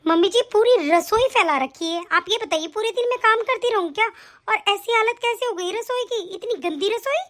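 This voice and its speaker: A bossy middle-aged aunt who nags and scolds without pause, her high voice running quick and sharp, as a woman plays her. She keeps up a steady stream of complaint. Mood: complaining. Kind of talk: comic family skit acting.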